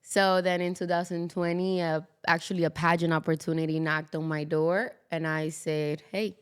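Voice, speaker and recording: Smooth-voiced woman, laughing guest. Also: sits low, moderate pace. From Dominican Republic. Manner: animated, laughs often, many fillers. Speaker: guest, model. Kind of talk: casual interview chat. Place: South Florida.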